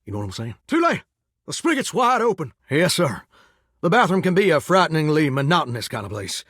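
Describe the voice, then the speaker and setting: A man's voice: on the high side, very animated, fast talker, fairly bright tone, breathy edge, dry recording, clean studio sound, exaggerated voice. A man playing a folksy, flirtatious talking shower in a video game, with a theatrical Southern US drawl and a wistful streak. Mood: folksy, chatty, then theatrical griping. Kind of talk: video game character acting.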